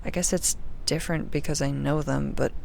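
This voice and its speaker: Wry, gravelly-edged young spaceship crew member voiced by an actor. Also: mid-range voice, steady tone, a little fast, darkish tone, a little hiss or hum, low-quality recording. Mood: uneasy, subdued. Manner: wry, sarcastic, informal, rambling. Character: sardonic, uneasy young crew member keeping an audio diary. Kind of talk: audio drama diary monologue, casual and conversational.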